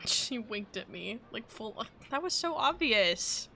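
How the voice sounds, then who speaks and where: Bright, animated woman streaming a game. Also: a little high, slow pace, gravelly, a little hiss or hum. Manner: informal, reactive asides. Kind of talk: casual live reaction commentary.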